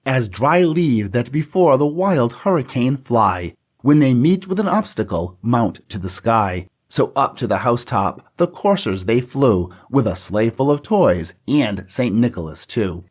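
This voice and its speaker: A man co-hosting a library podcast, chatting and then reading a holiday poem aloud in a measured, theatrical way. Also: medium pitch, big pitch swings. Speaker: podcast co-host.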